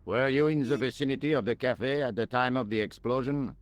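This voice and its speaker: A man playing a pompous, self-important French police detective, speaking deliberately with a French accent in a video game. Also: mid-range voice, close to an everyday voice. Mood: suave, formal. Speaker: police inspector.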